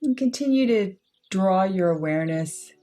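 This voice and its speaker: A woman leading a guided meditation, speaking in unhurried, measured short phrases with pauses between them. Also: mid-range voice, expressive, warm tone, soft background music. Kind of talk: guided meditation, slow soothing instruction.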